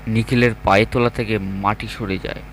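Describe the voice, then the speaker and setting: A man's voice: on the low side, steady tone, normal speed, fairly bright tone, a little hiss or hum. A man reading scripted narration for a horror story.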